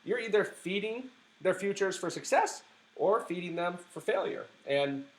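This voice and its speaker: A man with a somewhat high voice, worn hoarse by a sore throat, hosts a live stream from a family home. He talks with eager, preachy conviction, looping through fillers and restarts. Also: expressive, normal speed, rough recording. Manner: enthusiastic, preachy advocacy with many fillers and restarts. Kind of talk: casual live-stream chat.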